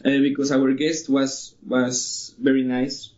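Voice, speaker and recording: A man's voice: medium pitch, little pitch movement, a little mellow. Young man, enthusiastic, English, muffled lo-fi sound. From Mexico. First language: Spanish. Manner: enthusiastic, halting non-native phrasing. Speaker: guest. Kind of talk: casual interview chat. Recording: dull lo-fi recording.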